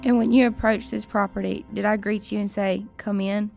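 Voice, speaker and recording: A woman's voice: medium pitch, level tone, normal speed, vocal fry, darkish tone, faint music behind, natural voice. Woman acting a stern, haughty lady of the house. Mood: cold, sardonic. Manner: formal, clipped, haughty.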